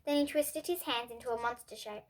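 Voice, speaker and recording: A young girl reading a children's bedtime story aloud in an expressive way. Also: fairly high pitch, a little fast. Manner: expressive, with emphatic character dialogue.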